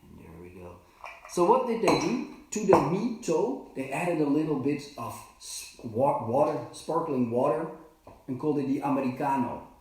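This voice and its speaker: Halting bartender in an echoey kitchen. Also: male voice, fairly high pitch, very animated, a little mellow, recorded from a distance. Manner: halting, many fillers and restarts. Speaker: bartender and cocktail trainer. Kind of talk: casual live demonstration. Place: home kitchen. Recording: very echoey, from off the mic.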